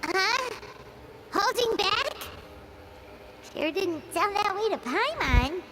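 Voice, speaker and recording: A woman voicing a tiny, eager, childlike fairy companion in a video game, squeaky and excitable. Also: takes it slowly, very noisy, heavily acted. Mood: puzzled, doubtful. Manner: excitable, speaks of herself in third person.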